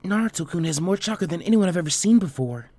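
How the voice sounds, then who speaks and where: A shy teenage ninja girl acted by a male narrator, pitched high and timid. She sounds anxious and unsure, questioning softly as a character in an audiobook-style story. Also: lively intonation, fast talker. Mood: worried, amazed.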